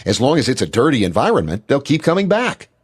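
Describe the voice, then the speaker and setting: A male narrator delivers scripted, instructional material in a middle-pitched voice. His tone has a slightly rough, husky texture. The pitch moves widely as he stresses key words with deliberate emphasis. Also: huge pitch swings.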